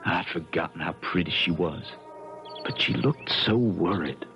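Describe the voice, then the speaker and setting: A man's voice: low-pitched, unhurried, creaky, pushed and bright, faint music behind, exaggerated voice. An actor playing an easygoing, kindly young man telling his adventures in folksy speech, in a 1970s radio drama. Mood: reminiscing. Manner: folksy, colloquial storytelling. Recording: dull 1970s radio broadcast.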